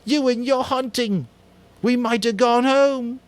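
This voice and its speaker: A timid young boy cries out shrill and loud, as a man puts him on. The voice sits very high above the reader's own, in a storybook reading. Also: steady tone, slow. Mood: anguished. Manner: shrill, crying out.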